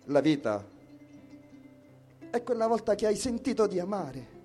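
A man recites poetry at a slow, measured pace in a mellow, fairly high voice. Plucked guitar plays softly behind his words. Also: sing-song. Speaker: poetry reader. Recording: soft guitar music behind. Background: plucked guitar music.

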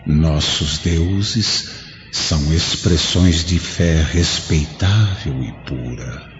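Guy playing a sad gentle spirit in a brazilian radio play, really low and slow, solemn. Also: heavily acted. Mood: solemn consoling. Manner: solemn, measured, preaching gently. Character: serene, sorrowful luminous spirit of an old friend. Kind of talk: Brazilian radio drama acting. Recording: dull lo-fi radio drama, faint noise.

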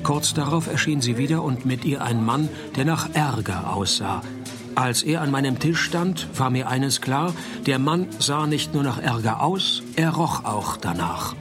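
An actor playing a wry, deadpan male private detective who narrates and talks through a noir parody radio play. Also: medium pitch, a little slow, over music, close to an everyday voice. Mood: deadpan narrating. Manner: dry, sardonic, laconic.

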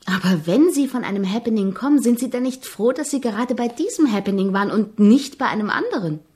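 An actress playing an earnest, persistent young composition student in a German radio drama, questioning politely but insistently. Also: female voice, a little high, animated, fairly quick, a little mellow, lightly acted. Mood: probing.

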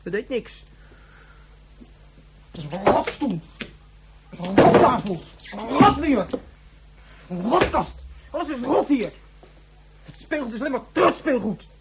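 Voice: medium pitch, sing-song, a little slow, long pauses, very noisy. A performer playing a whiny, insistent and imaginative young boy in a radio drama, with a childlike voice. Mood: sulky, bitter. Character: petulant, imaginative young boy, upset about his mother.

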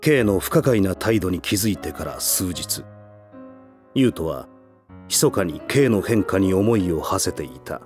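A man acting as the solemn, measured narrator of a scripted voice drama. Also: deep voice, animated, darkish tone, faint music behind. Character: solemn male storyteller of a drama episode.

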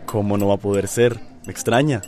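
An actor with a Costa Rican accent playing a polite, obliging café waiter in a radio soap. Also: male voice, on the low side, steady tone, fairly quick, a little mellow, natural voice. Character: courteous, eager-to-please cafe waiter.

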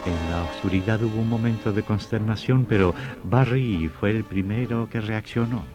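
A man's voice: on the low side, normal speed, lightly acted. A man playing an ominous storyteller, narrating an old radio horror drama in a dramatic, literary style. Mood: dramatic, horrified. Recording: dull old radio recording, loud music.

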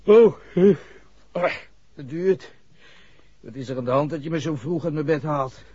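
An actor playing a grumbling, defensive young playboy star with a husky voice and a hesitant, indignant manner, in 1960s radio drama style. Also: male voice, on the high side, normal speed, mellow. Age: young adult. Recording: dull 1960s radio recording.

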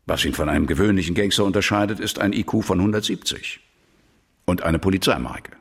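Gravelly, hard-nosed police supervisor. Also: male voice, deep voice, brisk. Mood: frank, critical. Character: frank, hard-nosed male police supervisor, cool and pragmatic. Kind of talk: German radio drama acting.

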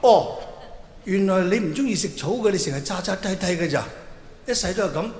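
A man's voice: sits high, expressive, fairly quick, a little mellow. A man voicing a nagging, indignant wife in a comedy routine, whiny and accusing. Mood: aggrieved. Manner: whiny, accusing, rhetorical questioning. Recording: echoey hall with long reverb, clear noise.

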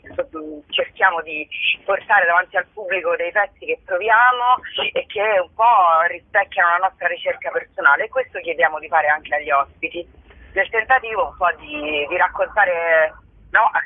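A woman chatting as a guest in a casual interview, often hesitating and searching for words. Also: lowish voice. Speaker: interview guest, performer and writer. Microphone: phone call. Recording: thin, band-limited telephone line.

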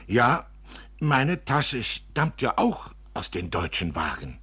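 A man's voice: medium pitch, huge pitch swings, slow pace, pushed and bright, breathy edge, voice pushed up, full character voice. A man voicing a proud, naive young girl in a storybook reading, speaking emphatically like a know-it-all. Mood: proud, assertive.

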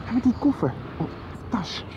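A jumpy, eager young man, as an actor plays him in a radio play. His pitch jumps around a lot. The recording is dull and lo-fi, with clear street noise around him. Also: sing-song, normal speed. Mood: excited.

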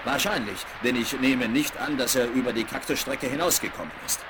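An actor playing a calm, commanding frontier hero in a children's western radio play, speaking in measured, articulate phrases. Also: male voice, mid-range voice, natural voice. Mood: calm, practical. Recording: crackly vinyl rip of radio play.

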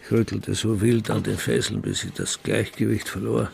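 An actor playing a bitter, contemptuous old businessman father telling his story in a scornful, deliberate radio-drama monologue. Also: on the low side, steady tone, background noise. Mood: grim, tense. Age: older. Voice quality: gravelly, creaky.

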